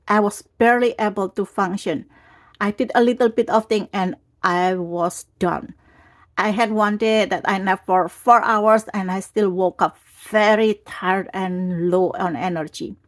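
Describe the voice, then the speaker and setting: A woman's voice: mid-range voice, unhurried. A woman hosting her craft channel, talking casually and rambling a little while she recovers from a cold and has a lingering cough. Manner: chatty, rambling with restarts. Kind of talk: casual craft tutorial talk. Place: indoors at a craft table. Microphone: overhead camera on a tabletop.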